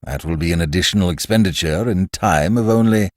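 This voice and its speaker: A man's voice: low-pitched, fairly quick, a little mellow, no echo, studio quality, close to an everyday voice. A man voicing a jovial, fat older schemer who talks in a complacent, throaty purr.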